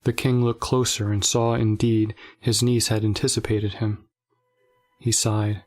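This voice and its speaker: A man's voice: fairly low pitch, flat delivery, a little slow, darkish tone. A man reading scripted story narration.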